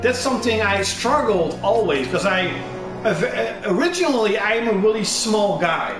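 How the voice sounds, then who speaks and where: Young man, Dutch accent, rambling casual monologue, swears, echoey room, clear music underneath. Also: high voice, big pitch swings, moderate pace. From Netherlands. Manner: rambling, swearing, many fillers and restarts. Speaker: gaming channel host. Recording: roomy echo with music underneath.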